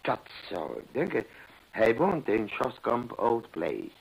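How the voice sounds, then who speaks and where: An actor playing a genial pensioner and loyal companion in a radio play, chatty and a little hesitant, with a gravelly, husky voice. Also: male voice, mid-range voice, wildly swooping pitch, slow pace, dull audio, heavily acted. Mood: chatty, informative. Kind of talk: Dutch radio play acting. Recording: old radio play recording.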